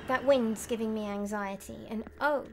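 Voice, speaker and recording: A woman's voice: on the high side, normal speed, very noisy. A middle-aged woman giving casual gameplay commentary, musing aloud to herself. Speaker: game streamer and voice actress. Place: indoor room, seated at a desk. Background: game music.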